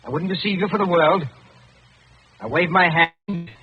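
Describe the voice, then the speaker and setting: An actor playing a cool, crafty Englishman in 1940s radio drama style, persuasive and deliberate. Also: fairly high pitch, faint music behind, close to an everyday voice. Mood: smooth, sly. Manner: deliberate, simple coaxing phrases. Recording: dull old radio broadcast recording.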